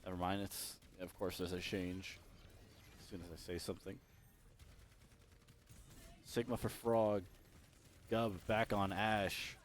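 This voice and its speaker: Young male esports caster, low hoarse voice, loose rambling play-by-play. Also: little pitch movement, slow pace, pauses often, slight background noise. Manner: rambling, self-correcting, chatty. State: losing his voice. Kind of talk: solo play-by-play commentary.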